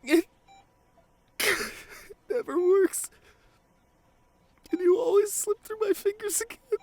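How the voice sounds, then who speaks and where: Devoted boyfriend, grieving and tearful, played by a man; slow, broken delivery with repeated phrases, intimate audio drama pleading. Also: very high voice, dark tone, no room sound, heavily acted. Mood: anguished, tearful. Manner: halting, repeats phrases, voice breaking into sobs. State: crying. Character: grieving devoted boyfriend pleading at comatose partner's hospital bedside. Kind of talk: emotional ASMR audio roleplay.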